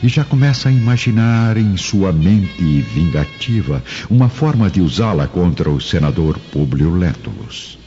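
A male narrator with a Brazilian accent delivers scripted radio drama narration. His voice is deep and dark, on a slightly dull recording with music underneath. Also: very animated, unhurried, hardly any pauses.

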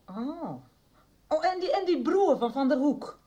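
A woman playing a curious, excitable middle-aged mother in a Dutch radio soap, eagerly interjecting. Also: high voice, unhurried, muffled recording. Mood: concerned.

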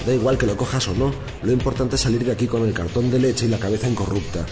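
An actor playing a sardonic, pragmatic inner voice, brusque, impatient and matter-of-fact, in a surreal radio drama. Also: male voice, mid-range voice, fast talker, music in the background, lightly acted. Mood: exasperated.

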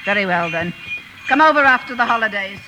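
An actress playing a stern, scolding elderly aunt in a period drama, haughty and imperious. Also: female voice, mid-range voice, animated, fairly quick, noisy background, natural voice. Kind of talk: period radio drama acting.

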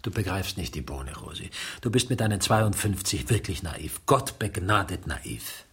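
Worn-out anxious guy, super raspy, sarcastic, voice jumps around, radio drama. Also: sits low, lively intonation, normal speed, fairly bright tone, heavily acted. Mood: dismissive. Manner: reproachful, sarcastic. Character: weary, anxious, sardonic man under suspicion. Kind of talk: radio drama acting, dialogue.

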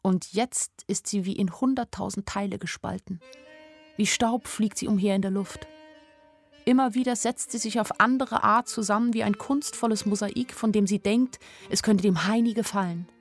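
A woman's voice: mid-range voice, steady tone, normal speed, close to an everyday voice. An actress playing a naive, observant young village woman who narrates her story in a radio play in a plain-spoken, wry way. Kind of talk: first-person radio play narration in a literary adaptation. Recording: studio radio play with music underneath. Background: music.

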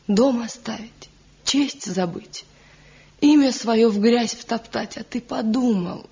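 A torn, sorrowful village wife. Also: female voice, mid-range voice, creaky, darkish tone, husky, exaggerated voice. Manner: earnest, sorrowful, firm. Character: young village wife, torn between husband and old love. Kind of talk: 1970s radio play acting. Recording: dull lo-fi old radio recording.

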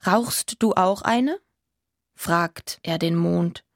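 A woman playing a quiet storyteller in a poetic radio play, gentle and deliberate. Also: lowish voice, no room sound, clean studio sound. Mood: hushed, dreamy. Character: quiet female storyteller of a night-time moon tale. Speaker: narrator.

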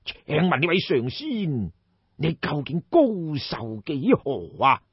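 A man's voice: fairly high pitch, very animated, takes it slowly, breathy edge, heavily acted. A man voicing an aging, ailing, credulous king of ancient China in an audiobook, sighing and marvelling. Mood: awed. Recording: dull, roomy audiobook recording.

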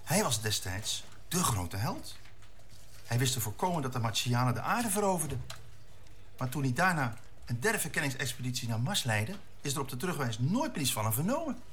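A man's voice: mid-range voice, very animated, a little fast, a bit bright, slight background noise. Male control-tower chief, radio play: officious, authoritative, very varied intonation. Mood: astonished, recalling.

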